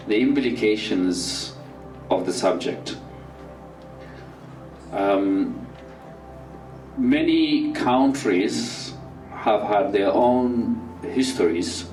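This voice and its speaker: A man from Somalia giving a public talk in a slow, halting way, with many fillers and restarts. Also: on the low side, a little mellow, recorded from a distance. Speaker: speaker at a community talk. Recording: roomy, off-mic, faint music behind.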